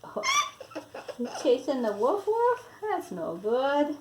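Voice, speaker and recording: Slow, expressive older woman reading aloud. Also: high voice. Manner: animated, sing-song, drawn-out words for a young listener. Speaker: grandmother reading aloud to a small child. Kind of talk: expressive picture-book reading with chatty asides. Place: porch at home. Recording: roomy echo, faint background noise.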